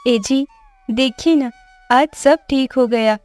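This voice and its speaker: Lady as devout worried farmer wife in kids cartoon, highish pleading voice, music under. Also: slow pace, a little mellow. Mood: grateful, prayerful. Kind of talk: Hindi animated moral story acting.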